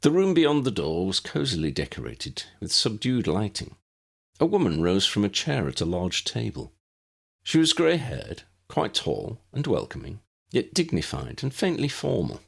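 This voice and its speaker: Guy reading an audiobook, deep voice, kinda husky, moves around a lot in pitch. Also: wildly swooping pitch, moderate pace. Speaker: narrator, audiobook reader. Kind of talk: scripted story narration.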